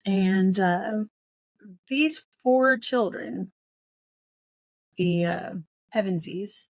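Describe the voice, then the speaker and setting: Halting woman podcast host, dry sound. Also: mid-range voice, no room sound. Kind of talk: casual book discussion.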